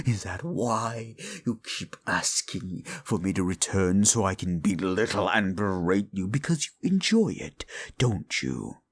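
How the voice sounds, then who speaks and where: A man voicing a gravelly, scornful demon. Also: on the low side, exaggerated voice. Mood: cold, mocking. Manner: contemptuous, belittling, theatrical. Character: scornful proud male demon of desire. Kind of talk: audio roleplay drama.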